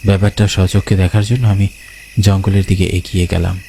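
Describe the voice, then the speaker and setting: A man's voice: low voice, little pitch movement, brisk, creaky, dark tone, slight background noise. A man telling a horror story in dramatic first-person narration.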